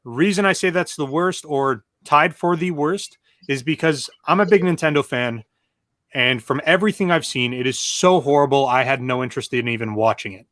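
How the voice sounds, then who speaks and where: A man giving opinions as a show panelist, griping emphatically in casual, halting speech. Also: on the high side, fairly bright tone, no echo, studio quality. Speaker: panelist on a video game movie show.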